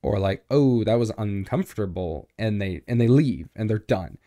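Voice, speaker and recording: A young man co-hosting a podcast in a small home studio, with a deep, boomy voice and a relaxed, rambling way of talking full of fillers. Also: moderate pace, dry recording. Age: young adult. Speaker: podcast co-host. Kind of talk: casual chat. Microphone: studio mic on boom arm.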